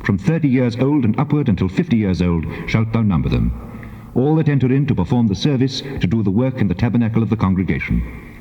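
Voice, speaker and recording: An actor playing a solemn, commanding God in a dramatized scripture reading, speaking in a measured, stately way. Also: male voice, fairly low pitch, sing-song, warm tone. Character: solemn, commanding God giving instructions to his people. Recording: muffled cassette with long cavernous reverb.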